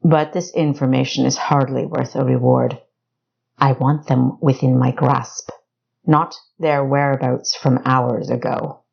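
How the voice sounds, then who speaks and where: A cold storybook villain, voiced by a woman, hard and impatient. He speaks formally and slowly, in a low register, with quiet command. The recording is a touch muffled. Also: steady tone, creaky, full character voice. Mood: impatient, menacing. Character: cold, menacing, impatient male dungeon keeper hunting fugitives.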